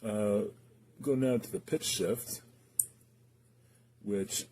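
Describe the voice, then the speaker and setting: Low-pitched man, halting casual demo talk. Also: a little mellow, a little hiss or hum. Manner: hesitant, halting, thinking aloud. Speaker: gear reviewer demonstrating a guitar effects pedal.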